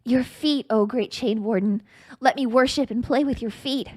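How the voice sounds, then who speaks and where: A woman's voice: fairly high pitch, moderate pace, darkish tone, breathy edge, no room sound, pitched above the performer's own voice. A woman acts out an eager mortal mage from a fan fiction tale, nervous and besotted. The mage pleads with reverence in a close, dry recording. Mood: eager pleading. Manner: stuttering, reverent.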